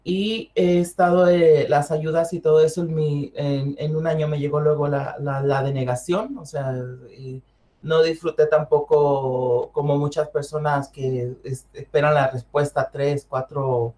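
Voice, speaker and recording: A woman's voice: low voice, fairly even intonation, unhurried, dark tone. A young adult woman from Mexico with a Mexican accent, a guest speaking candidly on an online panel, with frequent fillers and restarts.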